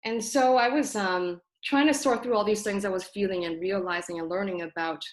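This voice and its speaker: A woman's voice: mid-range voice, brisk, rough recording. A young woman from Toronto whose first language is Cantonese, hosting a group video call from her apartment, speaking thoughtfully with many ums and self-corrections. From Toronto, Canada. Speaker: host of a community video call discussion. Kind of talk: casual, earnest introduction to an online group talk. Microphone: computer on a video call.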